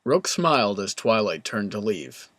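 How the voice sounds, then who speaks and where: A man's voice: on the low side, sing-song, unhurried, a bit bright. A man reading scripted story narration as the narrator.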